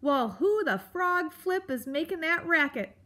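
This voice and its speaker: A woman's voice: high-pitched, big pitch swings, takes it slowly, bright, forceful tone, pitched above the performer's own voice, exaggerated voice. A woman voicing a grumpy, crotchety male animal in a children's storybook, snarling and snapping out insults. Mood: demanding. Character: grumpy old male porcupine-like beast, blustering, crotchety.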